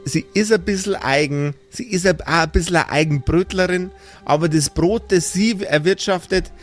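A man's voice: high-pitched, moderate pace, soft background music, lightly acted. A man voicing a weary, nagging mother with a southern German or Austrian accent, exasperated and pleading in an improvised audio play. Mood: coaxing. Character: weary nagging mother pushing her grown sons to work.

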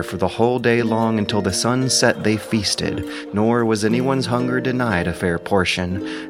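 A man's voice: lowish voice, gravelly. A man reading scripted narration as narrator and commentator.

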